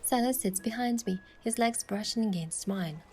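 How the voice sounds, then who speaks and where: An actress playing a playful, affectionate young heroine who softly narrates her own love story in a romance game. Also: on the high side, normal speed, slight background noise, lightly acted. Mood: soft narrating.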